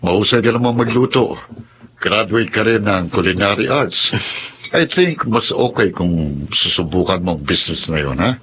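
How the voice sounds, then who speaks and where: Low-voiced Filipino father, played by a man. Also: expressive, moderate pace, background noise, close to an everyday voice. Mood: encouraging. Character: supportive, well-off businessman father, middle-aged. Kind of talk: Tagalog radio drama acting.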